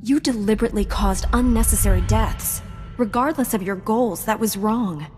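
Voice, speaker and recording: An actress playing a principled, composed female knight in a sci-fi video game, measured and earnest. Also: medium pitch, a little mellow, long reverb tail, faint music behind, natural voice. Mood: disapproving. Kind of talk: video game dialogue acting.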